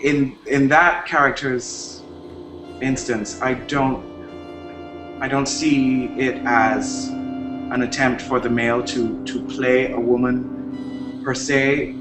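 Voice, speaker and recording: Man from Barbados, artist in interview, measured and reflective, mid voice, echoey room over music. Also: medium pitch, steady tone. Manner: thoughtful, measured, with frequent pauses and restarts. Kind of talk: interview talk about his art practice.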